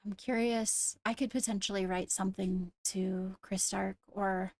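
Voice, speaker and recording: A woman playing a composed, courteous court leader in an improvised fantasy game roleplay, speaking in a measured conversational way. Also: mid-range voice, steady tone, slight background noise, lightly acted. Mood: diplomatic, thoughtful. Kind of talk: improvised Minecraft roleplay in character.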